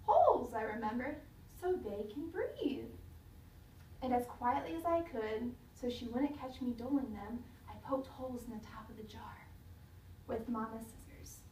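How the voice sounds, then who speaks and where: High-voiced woman reading aloud, roomy sound. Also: animated, darkish tone. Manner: dramatic, pausing to question listeners. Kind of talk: expressive children's story read-aloud. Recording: roomy, distant mic, faint noise.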